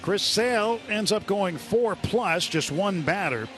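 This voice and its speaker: Higher-voiced American man calling baseball. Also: animated, unhurried, fairly bright tone, faint music behind. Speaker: radio baseball play-by-play announcer. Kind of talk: live sports commentary. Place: ballpark broadcast booth. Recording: live stadium broadcast, ambient noise.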